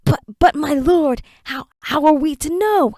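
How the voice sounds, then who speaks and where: A woman's voice: a little high, lively intonation, measured pace, gravelly, mellow, slightly rough, dry recording, studio quality, full character voice. A woman voicing a cringing male underling creature who stammers and grovels before his lord. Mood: groveling.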